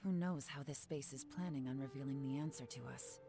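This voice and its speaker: A woman voicing a cool, shrewd investigator in a video game, measured and self-assured. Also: a bass voice, dark tone, soft background music. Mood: composed, analytical.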